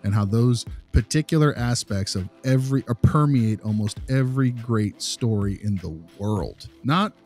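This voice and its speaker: A man's voice: medium pitch, normal speed, few pauses, darkish tone, faint music behind. A man talking casually as a podcast co-host, emphatic and often breaking off to restart his thought. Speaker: podcast co-host, behavior analyst.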